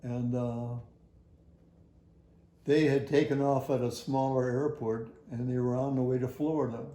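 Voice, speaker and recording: Older man, mellow tone, unhurried, halting folksy storyteller, oral history, roomy echo. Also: mid-range voice, little pitch movement. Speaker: retired air traffic facility worker.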